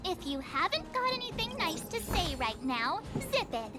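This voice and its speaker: Game heroine: feisty teen girl, very high pitched, bouncy melody, music behind. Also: very animated, moderate pace. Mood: excited, feisty. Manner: breezy, emphatic. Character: perky, feisty, outspoken teenage girl adventurer. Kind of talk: video game voice acting.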